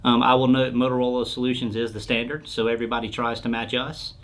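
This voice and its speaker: A man speaks at a middling pitch in an informal interview. He explains things plainly and practically, as a product specialist answering a customer's basic questions. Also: flat delivery, fast. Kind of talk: casual explanatory interview talk.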